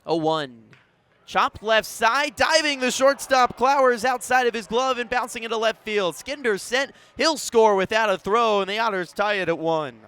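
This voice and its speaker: A man doing live baseball play-by-play as a radio announcer, narrating in a measured way with punchy calls. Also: very high voice, sharp tone, clear, resonant tone. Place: outdoors at a ballpark.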